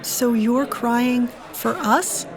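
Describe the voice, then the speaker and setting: Casting: flippant female club musician, high voice, sardonic banter, audio drama, loud music behind. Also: steady tone, very slow. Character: flippant, hard-drinking female club musician and burlesque performer.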